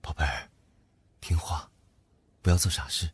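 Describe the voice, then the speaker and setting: An actor playing a tender, injured elder brother in an audio drama, husky and gently soothing. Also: male voice, very deep voice, steady tone, normal speed, heavily acted. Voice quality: husky, breathy. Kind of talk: Chinese audio drama acting.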